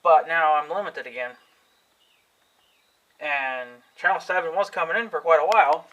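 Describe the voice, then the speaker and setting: A man's voice: on the high side, normal speed, a bit bright, low-quality recording. A young man, thinking aloud in a casual vlog, unsure of himself and swearing freely. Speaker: vlogger. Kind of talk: rambling casual talk to camera.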